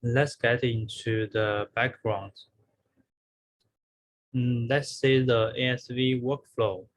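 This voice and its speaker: A man presenting research as a scripted academic talk, in a somewhat halting, explanatory way. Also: mid-range voice, level tone, rough recording. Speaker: researcher presenting a conference paper.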